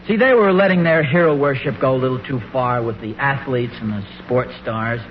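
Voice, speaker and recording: A man's voice: medium pitch, brisk, close to an everyday voice. An actor playing an earnest, hesitant suburban American dad in an old-time radio family comedy, eager to impress his sons. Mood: flustered. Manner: hesitant, mild, stammering. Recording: muffled, noisy old radio broadcast recording.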